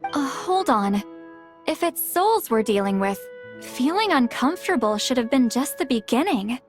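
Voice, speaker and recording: An actress voicing a laid-back, quietly confident young woman medium in a game, with a languid, casual delivery. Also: high voice, big pitch swings, moderate pace. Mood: puzzled. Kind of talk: scripted video game character dialogue. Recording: game music behind the voice.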